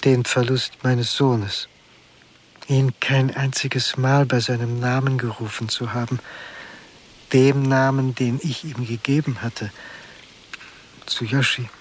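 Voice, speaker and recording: An actor playing a subdued middle-aged father in a radio drama, recalling his son in halting, reflective, measured phrases full of remorse. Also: mid-range voice, flat delivery, a little hiss or hum, lightly acted, rough recording. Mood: subdued, remorseful. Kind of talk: radio drama monologue, introspective narration.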